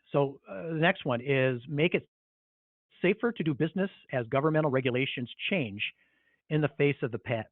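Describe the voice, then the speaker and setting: A man's voice: mid-range voice, no echo. A man presenting informally on a business conference call, talking in a hesitant, rambling, conversational way. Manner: hesitant, rambling, conversational with frequent "you know". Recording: tinny phone-line conference call.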